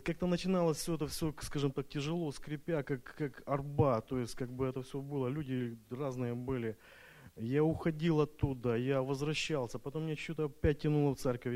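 A man's voice: medium pitch, mellow. Nervous man, non-native Russian speaker. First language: not Russian. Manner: nervous, hesitant, many restarts and fillers. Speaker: retreat participant giving testimony. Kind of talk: personal church testimony.

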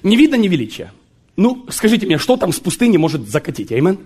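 A man preaching a sermon, joking and animated, with sudden shouts and laughter. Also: high-pitched, quick pace, a little hiss or hum.

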